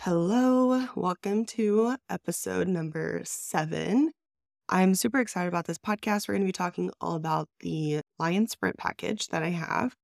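A woman's voice: on the low side, normal speed, creaky, darkish tone, dry recording, studio quality. A woman hosting her own business podcast, talking in an upbeat, chatty way. She says she is congested, and her voice sounds stuffy and nasal. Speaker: podcast host and brand designer. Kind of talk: solo business podcast talk.

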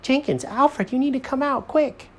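A man voicing an excitable, pleading talking squirrel in a children's story. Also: very high-pitched, normal speed, voice pushed up. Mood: urgent.

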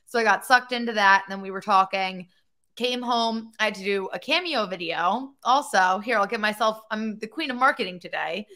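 A young woman co-hosting a podcast, with a voice that always sounds a bit hoarse, chatty and playful. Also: mid-range voice, normal speed, sharp tone. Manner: chatty, playful, self-mocking. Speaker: podcast co-host. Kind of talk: casual chat.

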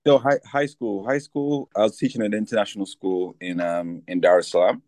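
Deep-voiced relaxed man. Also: fairly quick, no room sound. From Tanzania. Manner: easygoing, many fillers and restarts. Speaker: guest. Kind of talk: casual interview talk.

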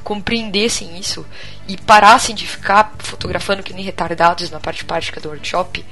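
A Brazilian woman co-hosting a podcast, chatting casually in a blunt, expressive and colloquial way. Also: lowish voice, moderate pace. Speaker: podcast co-host. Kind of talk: casual group chat.

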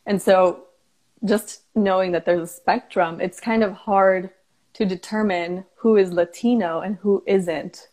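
A woman's voice: mid-range voice, steady tone, unhurried, darkish tone. A young woman hosting a casual conversation, enthusiastic and chatty as she talks and asks questions. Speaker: host.

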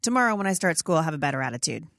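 A woman's voice: sits low, very animated, rapid-fire, a bit bright, no room sound, studio quality. A middle-aged woman hosting a podcast, chatting in an affirming, enthusiastic way with her guest. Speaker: podcast host. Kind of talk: casual podcast conversation.

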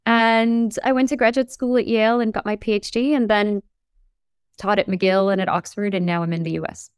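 A woman professor in her forties, raised in the United States, speaking in an articulate, measured way with emphasis in an interview. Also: medium pitch, steady tone, clear, resonant tone, no echo, clean studio sound. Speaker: English professor. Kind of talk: reflective interview talk.

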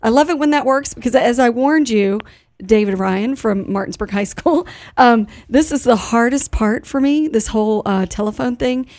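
Woman, American accent, radio host, fairly high voice, chatty informal interview style, dry studio sound. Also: moderate pace, darkish tone, dry recording. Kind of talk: casual live radio interview.

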